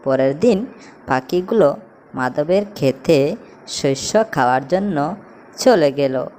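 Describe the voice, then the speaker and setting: A woman's voice: sits low, lively intonation, slow, warm tone. A woman narrating a scripted children's story as a storyteller, over a noisy background. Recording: noticeable background noise, ambience.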